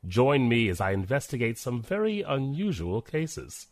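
A man's voice: mid-range voice, sing-song, moderate pace, darkish tone, no room sound. A man, a voice actor, delivering a scripted promo in the first person.

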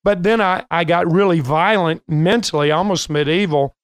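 An older man hosting a coaching podcast, speaking casually with frequent filler pauses. His voice is smooth and clear, set a bit higher than most. The studio sound is dry and close. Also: no room sound. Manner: chatty, many filler pauses. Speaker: host and coach.

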